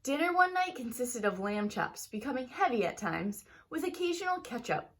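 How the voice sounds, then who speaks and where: A woman reading a children's storybook aloud like a teacher, with expressive, emphatic phrasing aimed at young listeners. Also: fairly high pitch, normal speed. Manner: talks directly to young listeners.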